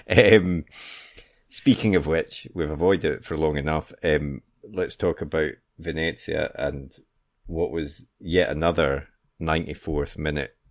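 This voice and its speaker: Very deep, gravelly-voiced man. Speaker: football podcast co-host. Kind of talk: casual football chat.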